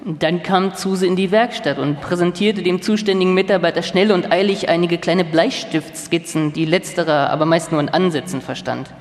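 A man playing a chief programmer who recounts anecdotes in a dry, measured way, in a live documentary radio feature. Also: high voice, steady tone. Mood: matter-of-fact. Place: live stage in a large hall. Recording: echoey hall with long reverb.

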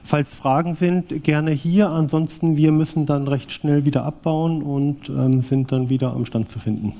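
A man in his forties from southwest Thuringia presents a technical talk, thinking aloud as he goes. His voice is very dark and mellow, and he keeps stopping and restarting with many fillers. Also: a little high, fairly even intonation, normal speed, slight background noise. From southwest Thuringia, East Germany. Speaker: conference speaker presenting a vintage graphics device.

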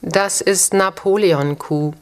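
A woman's voice: fairly low pitch, slow pace. A woman delivering a short scripted line, recorded in a voice booth. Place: dry voice booth.

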